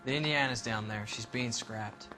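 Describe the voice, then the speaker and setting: An actor playing a brash, defensive young 1940s sailor under questioning in a video game, talking in blunt slang. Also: male voice, mid-range voice, normal speed, music in the background, lightly acted. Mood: guarded. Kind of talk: video game cutscene acting.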